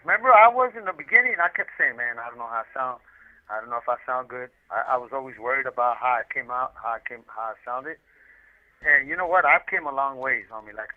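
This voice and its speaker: A talkative man chatting casually over a phone, telling rambling, slangy anecdotes and repeating himself as he goes. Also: medium pitch. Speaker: incarcerated guest calling in by collect call. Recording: muffled, tinny prison phone line.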